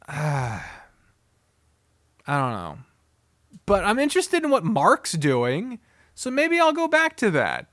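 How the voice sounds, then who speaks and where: A man's voice: sits high, fairly bright tone, no echo, studio quality. A man giving a casual, unscripted video review as a streamer, rambling candidly and swearing freely. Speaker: visual novel streamer and reviewer.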